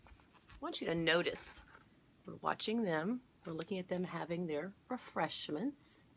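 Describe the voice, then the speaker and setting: A middle-aged woman hosting a children's storytime, reading a picture book aloud and pausing to point out details for young viewers. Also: fairly low pitch, animated, slight background noise. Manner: explanatory, inviting listeners to look closely and notice details. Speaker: children's storytime host at a museum.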